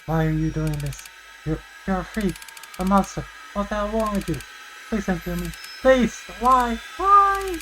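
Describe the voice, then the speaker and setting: A man's voice: high voice, lively intonation, unhurried, a little hiss or hum, pitched above the performer's own voice, exaggerated voice, low-quality recording. A man voicing a terrified video game victim, pleading frantically with a monster. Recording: loud music behind the voice.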